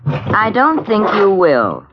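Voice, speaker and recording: A guarded, nervous noir woman with very animated pitch. She is clipped and defensive in the theatrical manner of 1940s radio drama. The old recording sounds dull. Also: takes it slowly, heavily acted. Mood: cold, threatening.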